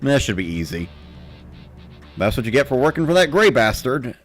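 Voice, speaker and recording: A man narrating in the first person with an expressive, dramatic delivery. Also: medium pitch, normal speed, faint music behind. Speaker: narrator of a dramatic reading series.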